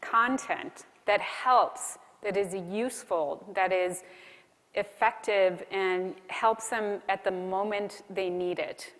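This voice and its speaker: A woman's voice: medium pitch, fairly bright tone. A woman giving a conversational conference keynote, asking the audience questions as she goes. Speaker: keynote speaker. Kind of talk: conference keynote talk on content marketing. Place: large conference hall. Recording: long hall reverb.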